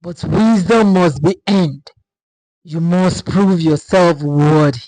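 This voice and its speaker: A woman plays a vast, ancient spirit of the forest in a storybook tale. The delivery is slow, solemn and commanding, recorded dry and close. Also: mellow, dry recording, rough recording. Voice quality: deep, rumbling.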